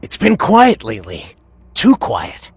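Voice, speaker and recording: A very husky young hero from a video game, earnest and resolute. The voice crackles, with lively pitch, recorded dry. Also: male voice, a little slow, no echo, heavily acted. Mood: uneasy. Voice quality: husky, gravelly edge. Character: earnest young male warrior, dutiful and resolute.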